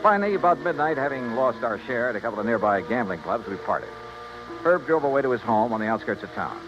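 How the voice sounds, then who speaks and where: A man's voice: medium pitch, sing-song, quick pace, over music, natural voice. A male actor playing a dogged, skeptical, clipped-talking insurance investigator in an old radio detective drama, both in dialogue and narration. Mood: wry narration. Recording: muffled, noisy old-time radio recording.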